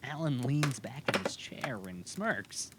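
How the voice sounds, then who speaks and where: Animated male narrator, noisy recording. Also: mid-range voice, takes it slowly. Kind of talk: scripted fantasy audio drama narration. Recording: clearly audible background noise.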